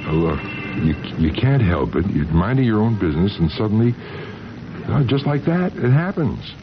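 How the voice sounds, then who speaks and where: Shy eager lovesick fella, old radio play, swingy lowish voice, halting, dull sound. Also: male voice, very animated. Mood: urgent, lovestruck. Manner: halting, eager, repeating himself. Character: shy, lovestruck, earnest male chemical engineer. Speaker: radio drama actor. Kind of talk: 1970s radio drama acting. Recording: dull vintage radio recording with music.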